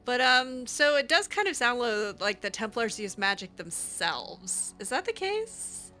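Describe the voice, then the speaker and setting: A woman with a bright, forward voice roleplays through a game at an unhurried pace. She is chatty and a little hesitant, with a wry streak, talking as if to the characters in front of her. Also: a little high, sing-song, faint music behind. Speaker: gaming channel host roleplaying a mage character. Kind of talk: improvised in-character roleplay commentary, conversational.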